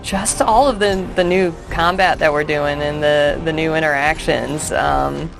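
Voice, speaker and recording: A woman's voice: deep voice, steady tone, unhurried, gravelly, poor audio. A woman speaking as a game developer in a promotional interview, searching for words, with music behind her.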